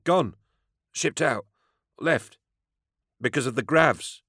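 A man's voice: mid-range voice, measured pace, vocal fry, fairly bright tone, no echo, studio quality, pitched above the performer's own voice. A man voicing a frightened, gawky mining superintendent with a panicky, pleading manner in an audiobook. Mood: nervous.

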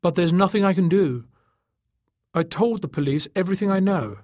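A man's voice: high-pitched, animated, moderate pace, a little mellow, no echo, studio quality, pitched above the performer's own voice. Guy doing a broken down doctor, weary n self-pitying, weak despairing delivery, audiobook. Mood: anguished, self-pitying. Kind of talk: audiobook character acting.

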